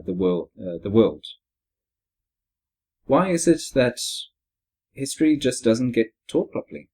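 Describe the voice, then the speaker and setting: A young man with a foreign accent talks in a measured, reflective way during a casual podcast discussion. His voice sits fairly low and has a husky edge. He pauses with soft fillers as he works through his points. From born abroad, moved to Australia at fifteen. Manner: measured, reflective, with frequent "uh" and soft "mm-hmm" backchannels. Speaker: podcast host.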